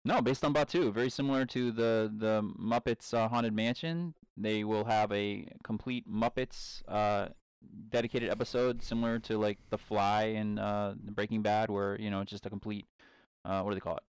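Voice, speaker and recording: A man co-hosting a podcast, bantering with deadpan, contrarian jokes and rambling restarts. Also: fairly low pitch, normal speed, smooth, no room sound. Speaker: podcast co-host. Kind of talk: casual fan banter.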